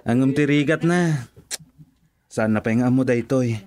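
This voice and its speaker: A man's voice: mid-range voice, moderate pace, a little mellow, lightly acted. A man playing an earnest, lovelorn farmer in a radio drama, speaking in a hesitant, sincere way. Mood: friendly. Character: Jomel, earnest, lovelorn organic farmer building a house. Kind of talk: Ilocano radio drama acting.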